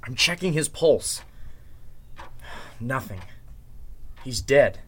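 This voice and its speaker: A man acting as an earnest leading man in a vintage-style radio mystery. His voice is bright and very expressive, with urgent theatrical delivery. Faint noise sits on the recording. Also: medium pitch, slow pace. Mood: grim, defensive. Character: Clint, earnest man taking charge of a mystery. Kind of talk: Golden Age style radio drama acting.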